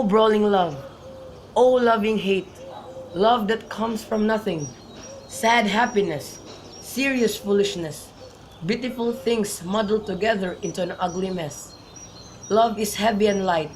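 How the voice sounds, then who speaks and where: Give this one to a melancholy young lover played by a man with a fairly high voice. He broods and pines in amateur radio-play style. The recording has faint noise and chirping birds behind it. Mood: agitated, anguished. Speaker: student actor. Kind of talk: amateur radio drama acting, modern-English Shakespeare.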